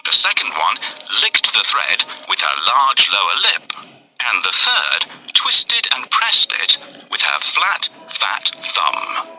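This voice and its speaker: A man narrating a scripted children's fairy tale in an expressive, deliberate way. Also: low-pitched, creaky, rough recording. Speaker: storyteller.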